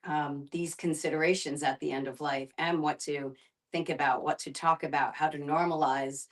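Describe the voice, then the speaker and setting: A woman facilitating a group discussion, speaking conversationally and reflectively, often pausing to find her words. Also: low-pitched, fairly even intonation. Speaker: guest facilitator, end-of-life doula and filmmaker.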